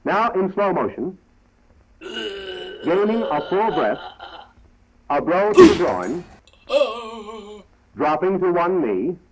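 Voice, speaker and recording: A man's voice: high voice, animated, slow pace, pauses often, crowd noise. An American man narrating a 1940s military training film in a formal, clipped, instructional manner, on a dull vintage soundtrack.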